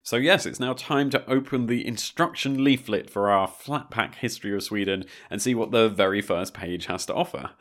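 A man's voice: fairly low pitch, normal speed, hardly any pauses, fairly bright tone. A man from England, co-hosting a history podcast and telling chatty stories. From southern England. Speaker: podcast co-host. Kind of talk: casual chat.

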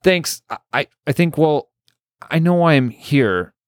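A man's voice: lively intonation, a little slow, no room sound, clean studio sound. A man voicing a nervous young woman who stammers and apologizes. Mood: flustered. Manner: flustered, stammering, high-pitched.

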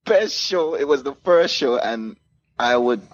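A man's voice: mid-range voice, animated, measured pace. A man, a DJ guest telling casual anecdotes in an interview and acting out the dialogue. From Caribbean. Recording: muffled, clearly noisy room recording.

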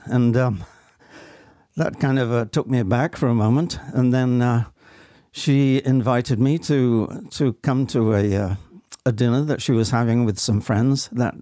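A man in his seventies with an English accent, speaking reflectively and haltingly as an interview guest. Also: mid-range voice, warm tone, dry recording, studio quality. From England. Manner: halting, deliberate.

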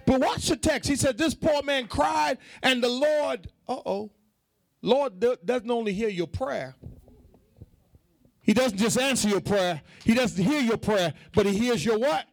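Male preacher, very high voice, slow emphatic delivery with repeated call-and-response lines, swelling toward half-sung shouts. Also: vocal fry, no room sound. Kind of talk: fervent sermon to a congregation.

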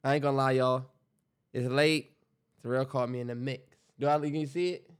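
A man's voice: medium pitch, fairly even intonation, fairly quick, clean tone. A man co-hosting a podcast, talking late at night, tired, laid-back and teasing in casual banter. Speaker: podcast co-host.

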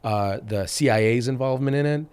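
A man in his thirties with a raspy voice after being ill, hosting a casual podcast chat and rambling with restarts and fillers. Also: mid-range voice, brisk, a little mellow. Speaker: podcast host.

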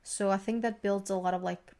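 A young woman with a slight Greek accent talks casually on a podcast. Her voice is strongly creaky and stays within a fairly flat range of pitch. She explains her thoughts in a rambling, reflective way. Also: brisk. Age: young adult. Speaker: podcast co-host. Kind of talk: casual conversation, sharing work experiences.

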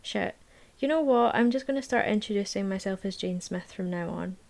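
A woman's voice: medium pitch, normal speed, creaky, a little mellow, close to an everyday voice. A woman voicing a prickly, self-conscious young female university student who talks bluntly and defensively.

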